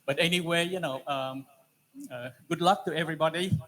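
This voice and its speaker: A man in his seventies from Vietnam with a Vietnamese accent, giving an informal, halting talk of advice with many pauses. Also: fairly high pitch, a bit bright. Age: 70s. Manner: halting, frequent pauses and "you know". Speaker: alumni speaker, technology entrepreneur. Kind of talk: informal introduction and advice to an audience. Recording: noisy live event room.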